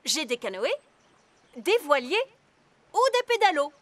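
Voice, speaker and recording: Lady rabbit boat renter for a kids cartoon, super high, sing-song, brisk manner. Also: big pitch swings, fairly bright tone, heavily acted. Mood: businesslike. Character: brisk, businesslike lady rabbit renting boats. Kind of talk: children's cartoon dubbing.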